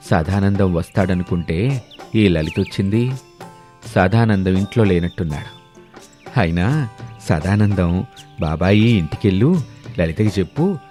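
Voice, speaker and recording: Man acting scheming older village uncle, low-voiced. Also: moderate pace, pauses often, dark tone, faint music behind, close to an everyday voice. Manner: coaxing, muttering schemes to himself.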